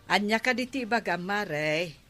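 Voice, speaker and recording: A woman playing a cautious, advising village woman in an Ilocano comedy radio drama, measured in manner. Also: mid-range voice, lively intonation, pushed and bright, faint music behind.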